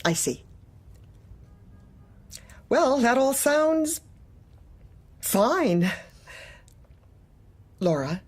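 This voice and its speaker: An actress playing a heartless, manipulative office manager in a dark comedy audio drama, deliberate and probing with polite menace. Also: female voice, sits high, sing-song, breathy edge, heavily acted.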